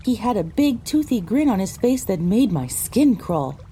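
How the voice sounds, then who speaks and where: A woman's voice: medium pitch, sing-song, moderate pace, mellow, slight background noise, natural voice. A woman narrating an audio drama in the first person as a frightened young female pony recounting her misadventure. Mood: dramatic recounting.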